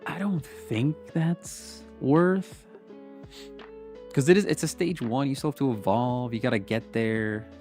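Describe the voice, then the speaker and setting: A man with a congested, sniffly voice, casually reading card text aloud and reacting with wry opinions as a streamer. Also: lowish voice. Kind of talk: casual pack-opening commentary.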